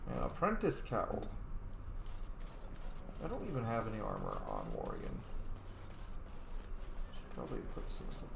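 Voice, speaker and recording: Gravelly man muttering through a game. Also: lowish voice, huge pitch swings, measured pace, long pauses. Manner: mumbling, talking to himself while playing. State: tired. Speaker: game streamer. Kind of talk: casual gameplay commentary. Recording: roomy with clear background noise.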